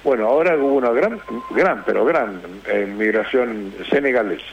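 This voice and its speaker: A man with an Argentine accent chatting casually as a radio host, speaking haltingly as he searches for words. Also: mid-range voice, expressive. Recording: dull radio sound with hiss.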